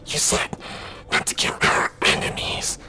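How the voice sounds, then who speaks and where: A performer voicing a bloodthirsty sea monster in an animated fan dub, with a whispery rasp and halting menace. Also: heavy background noise, lightly acted. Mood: menacing, sinister. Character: menacing, bloodthirsty sea monster villain, breathy and broken-voiced. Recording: loud music over the voice.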